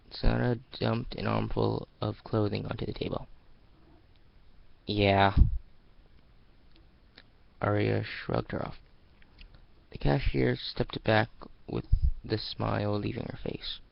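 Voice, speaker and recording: Male amateur narrator for dramatic fiction: slow delivery, heavy vocal fry, dull recording. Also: on the low side, little pitch movement. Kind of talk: dramatic story reading, scripted narration.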